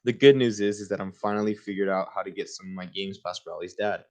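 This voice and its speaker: A man's voice: low-pitched, fairly even intonation, quick pace, darkish tone. A man reading a children's book aloud in an informal way, with casual asides of his own. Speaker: reader hosting a book livestream.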